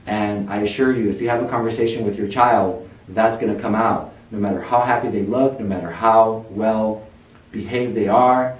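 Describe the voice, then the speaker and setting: A man's voice: lowish voice, darkish tone. A man who runs a learning center, earnestly telling parents his own story about school and his stutter. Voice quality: says he stutters. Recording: echoey room, a bit far from mic.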